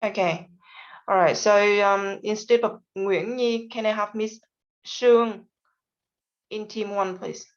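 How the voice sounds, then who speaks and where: A woman's voice: mid-range voice, steady tone, slow. A woman teaching an online class, politely calling on students to speak, with many fillers. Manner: patient, polite, hesitant with fillers. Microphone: video call. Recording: dull lo-fi video call audio.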